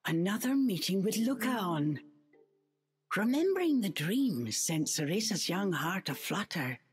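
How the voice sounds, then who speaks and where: A woman's voice: medium pitch, sing-song, measured pace. A woman playing the storybook narrator of a video game, reading scripted fairy-tale narration. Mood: storytelling. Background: music.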